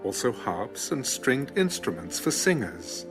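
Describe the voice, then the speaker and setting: A man's voice: mid-range voice, big pitch swings, unhurried. A man narrating scripture from a script in a dramatic audio Bible style. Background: background music.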